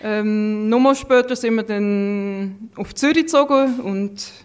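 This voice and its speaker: A woman's voice: mid-range voice, slow, clear, resonant tone. A woman in her thirties with a mixed Swiss German accent, speaking casually and reflectively as a podcast guest. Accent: Swiss German, mixed Lucerne, Thurgau and Zurich. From Switzerland. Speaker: interview guest, food scientist.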